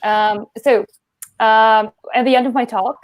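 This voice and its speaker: A woman in her thirties speaking as a guest on a video call, eager and slightly halting as she explains. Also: fairly high pitch, steady tone, no echo. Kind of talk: casual video call chat. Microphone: earphone mic on video call.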